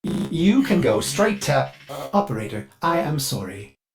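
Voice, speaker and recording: An eager-to-please artificial mind that runs a spaceship in a video game. The delivery is very animated in pitch, servile and theatrical. It halts now and then amid elaborate courtesy. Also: male voice, soft background music. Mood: apologetic, eager. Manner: overly polite, theatrical, servile, halting. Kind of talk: video game character dialogue.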